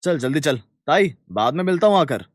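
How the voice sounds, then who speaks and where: Man acting an animated, earnest young farmer. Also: fairly high pitch, normal speed, no echo, studio quality, lightly acted. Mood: hurried. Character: earnest young village farmers, kind, friendly, chatty. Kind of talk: Hindi cartoon story dialogue.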